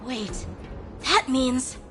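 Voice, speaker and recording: A woman's voice: sits high, animated, very slow, bright, forceful tone, faint music behind, heavily acted. A woman voicing an earnest, cautious young female investigator in a video game story. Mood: shocked.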